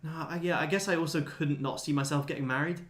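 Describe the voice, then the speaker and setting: A man's voice: on the high side, steady tone, quick pace, fairly bright tone. A man in his twenties chatting casually as a livestream host answering viewers, laid-back, blokey and sweary. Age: 20s. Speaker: livestream host, day trader.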